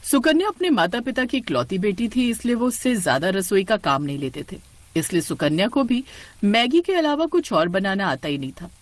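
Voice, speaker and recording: A woman narrates a scripted moral story as its storyteller. Her delivery is expressive with lively intonation at a medium pitch, and the sound is dry and close. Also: moderate pace, dry recording.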